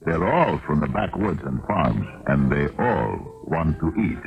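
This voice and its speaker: Very deep, creaky-voiced drifter. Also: male voice, sing-song, unhurried, full character voice. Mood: grumbling, resigned. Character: gruff, sly, down-and-out Russian drifter. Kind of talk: old-time radio drama acting. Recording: dull 1970s radio recording with music.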